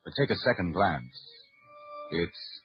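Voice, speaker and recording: An American man announcing and narrating from a script in 1940s radio style, with a husky voice. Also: sits low, very animated, slow pace, soft background music. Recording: muffled old radio recording.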